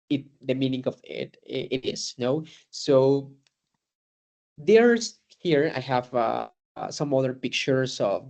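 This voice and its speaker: A man's voice: medium pitch, a little mellow. A man whose first language is Spanish gives an informal lecture to students in English, with many fillers, restarts and "no?" tag questions.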